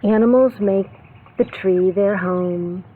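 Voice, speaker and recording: A woman preschool teacher reading a picture book aloud to young children, expressive, with simple explanations and questions. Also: mid-range voice, slow. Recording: phone-like narrow band, faint background noise.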